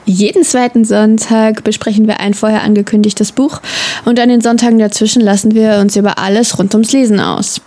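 A woman co-hosts a relaxed podcast chat. Her voice is at a medium pitch. She explains things patiently and often teases her co-host. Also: a little fast. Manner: teasing, explaining.

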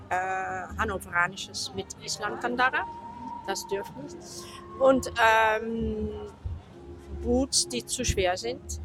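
A woman from the Netherlands with a Dutch accent, a judge explaining equipment checks in halting, word-searching speech, recorded outdoors at a horse show. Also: medium pitch. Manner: halting, searching for German words. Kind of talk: interview answers.

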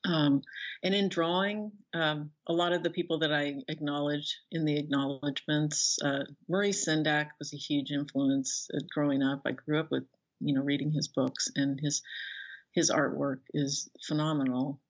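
A middle-aged woman talks in a casual interview over slightly dull, lo-fi audio. She wanders through her thoughts haltingly, often restarting a sentence partway. Her delivery is conversational, like an author discussing her work. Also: low-pitched, steady tone. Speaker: author and illustrator being interviewed.

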